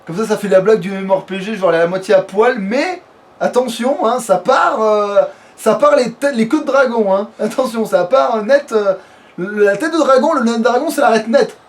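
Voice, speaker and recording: A man's voice: high voice, brisk. A man giving casual let's play commentary in a crude, slangy way, swearing freely. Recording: clearly noisy home recording.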